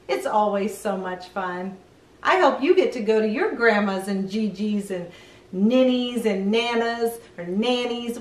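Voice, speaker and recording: A middle-aged woman hosting a children's read-aloud, speaking deliberately to young listeners. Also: mid-range voice, roomy sound, recorded from a distance.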